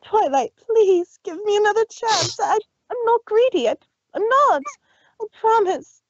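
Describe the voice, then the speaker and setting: High, swooping fashion mare, stammering, a woman acting. Dry, muffled. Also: expressive, unhurried, dry recording. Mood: pleading. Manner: stammering, tearful pleading. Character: dramatic young fashion unicorn mare.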